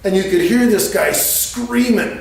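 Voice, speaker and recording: A man's voice: high-pitched, measured pace, gravelly. Echoey middle-aged man telling a story. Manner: colloquial, crude humor, chuckles as he tells it. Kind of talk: casual animated storytelling. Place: garage workshop, indoors. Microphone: camera several feet away. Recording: echoey, distant camera mic with faint noise.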